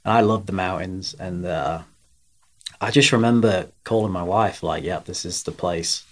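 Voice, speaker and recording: A man from England in his thirties, voicing a golf coach in a relaxed podcast chat. His voice sits low. He talks in a halting way, with frequent fillers and restarts. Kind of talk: casual interview.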